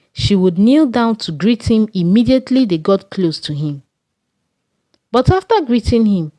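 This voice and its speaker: Unhurried Nigerian woman storyteller, dry sound. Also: medium pitch, mellow, no room sound. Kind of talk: scripted folktale narration.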